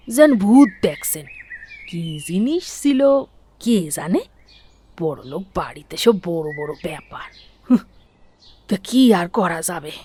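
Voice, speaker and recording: A woman's voice: on the low side, darkish tone, a little hiss or hum. A woman voicing a chatty, nosy older village housemaid in a cartoon, with a rural West Bengali accent and colloquial manner. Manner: colloquial, inquisitive, garrulous. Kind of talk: cartoon voice acting.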